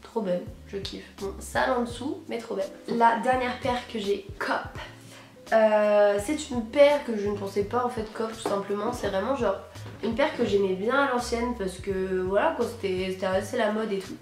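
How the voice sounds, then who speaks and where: A young woman, hoarse from a cold, chatting casually and slangily to camera as an enthusiastic vlogger. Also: mid-range voice, moderate pace. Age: teens to young adult, high school student. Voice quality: hoarse, husky. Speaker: sneaker vlogger presenting purchases. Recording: roomy echo, music behind.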